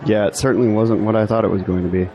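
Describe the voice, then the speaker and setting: A man's voice: lowish voice, fairly even intonation, fast, dull audio, natural voice. An actor playing a self-deprecating young male graduate in an audio drama, with a dry delivery. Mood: sincere. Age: young adult. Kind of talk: scripted audio drama dialogue. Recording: faint outdoor background noise.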